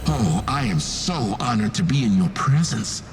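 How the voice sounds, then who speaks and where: A man's voice: on the low side, lively intonation, music in the background. A man playing a fanatical cultist in a video game, preaching with reverent, serene menace. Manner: slow, reverent, preaching delivery. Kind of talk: dramatic video game acting.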